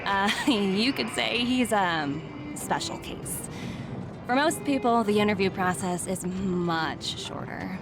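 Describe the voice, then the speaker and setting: A woman's voice: mid-range voice, lively intonation, slow. An actress voicing a confident, gracious young woman executive in a video game, polite and breezy. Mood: amused. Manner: breezy, polite, self-assured. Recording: game music behind the voice.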